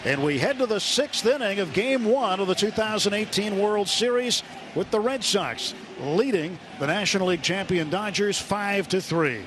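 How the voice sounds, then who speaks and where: A radio play-by-play man with a fairly high voice calls a baseball game. His phrasing is clipped and descriptive, ready to lift for big plays, and clear stadium noise sits behind him. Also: lively intonation, normal speed. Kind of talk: live baseball play-by-play commentary. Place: ballpark broadcast booth. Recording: radio broadcast with loud ballpark crowd noise.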